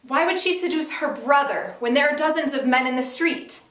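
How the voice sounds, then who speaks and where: A woman's voice: sits high, normal speed, a bit bright, pitched above the performer's own voice. Echoey, insistent young woman reporter acted by a woman. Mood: angry, challenging. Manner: confrontational, incredulous. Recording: echoey room, distant mic.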